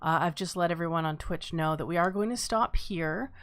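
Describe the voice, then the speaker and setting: A woman's voice: on the low side, fairly quick. A woman hosting a live script table read in a casual, conversational style.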